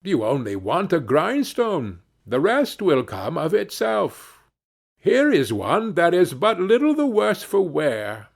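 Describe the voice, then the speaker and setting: A man's voice: a little high, huge pitch swings, takes it slowly, vocal fry, pitched above the performer's own voice, heavily acted. A man voicing a jolly, crafty travelling scissor grinder in a fairy tale, with hearty, coaxing patter. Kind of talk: fairy-tale storybook character reading.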